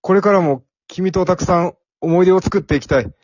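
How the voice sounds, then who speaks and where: A man's voice: sits high, fairly quick, darkish tone, no echo, muffled recording. A man playing a timid, earnest young office worker in an amateur radio drama, with plain boyish phrasing.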